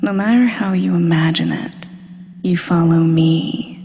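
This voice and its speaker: A woman speaks very slowly in a creaky voice washed in long, hall-like reverb. She delivers an intimate hypnosis monologue with deliberate, suggestive control. Her phrases often sink into a breathy near-whisper as she teases and commands. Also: fairly low pitch, warm tone. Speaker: hypnosis audio performer.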